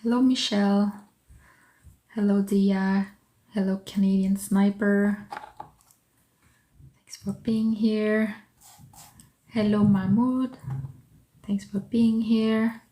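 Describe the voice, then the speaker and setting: A woman whose first language is French chats live from her art studio. She takes her time and thinks aloud with small fillers. She warmly welcomes each viewer by name while she works. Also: mid-range voice, flat delivery, takes it slowly, pauses often, rough recording. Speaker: artist hosting a livestream. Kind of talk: casual livestream chat while working.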